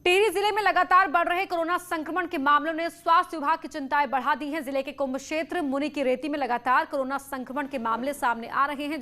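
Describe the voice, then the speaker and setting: A woman's voice: sits high, bright, forceful tone. A woman news presenter reading a scripted bulletin in a formal, emphatic newsreader manner.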